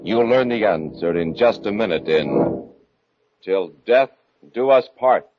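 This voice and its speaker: An actor playing the doom-laden announcer of a 1940s radio horror show, portentous and dramatic. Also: male voice, on the low side, animated, unhurried. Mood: ominous. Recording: muffled old 1940s radio recording, music behind.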